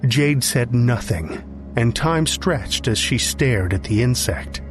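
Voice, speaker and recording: A man's voice: mid-range voice, lively intonation, slow pace. A man narrating scripted fiction for a podcast as its storyteller. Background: music.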